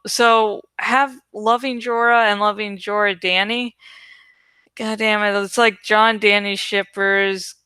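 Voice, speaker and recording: A woman's voice: medium pitch, pushed and bright, smooth, dry recording. A woman host talking casually to her viewers, rambling in an irreverent, sweary, giggly way. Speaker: host of a reading channel.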